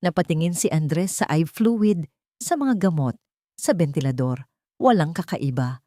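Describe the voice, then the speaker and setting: A Filipino woman narrates a scripted drama. Her voice sits a little low for a woman. The sound is dry, with no room around it. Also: animated, unhurried, mellow, dry recording.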